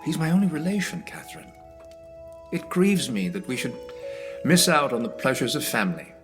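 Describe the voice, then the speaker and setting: An actor playing a kindly, sentimental young gentleman in a radio play, genial and earnest. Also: mid-range voice, big pitch swings, moderate pace, soft background music, natural voice. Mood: wistful, fond.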